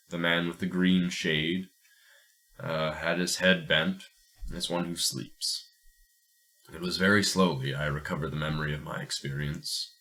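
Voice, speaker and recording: A man who hosts and reads stories in a deliberate, measured manner. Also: low voice, a bit bright. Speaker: podcast host and story reader. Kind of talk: dramatic first-person story narration, then casual chat.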